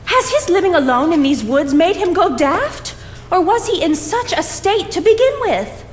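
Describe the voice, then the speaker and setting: High, haughty, prim young woman. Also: lively intonation, normal speed. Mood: indignant. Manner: haughty, formal, crisply disapproving. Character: prim, judgmental, outspoken young woman visitor. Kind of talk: stage play acting. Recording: echoey theatre stage with background noise.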